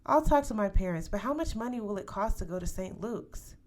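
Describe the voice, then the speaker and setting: A woman's voice: medium pitch, lively intonation, fairly quick, darkish tone, lightly acted. A woman reading the part of a modest, polite teenage schoolgirl who answers hesitantly in a story. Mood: surprised, hesitant. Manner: short, hesitant, polite replies.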